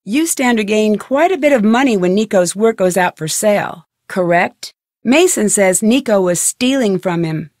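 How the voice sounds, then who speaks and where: An actress playing a poised, inquisitive young woman detective in a video game, questioning in a measured way. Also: mid-range voice, animated, a little mellow, no room sound, clean studio sound, lightly acted. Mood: serious, probing. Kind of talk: video game character voice acting.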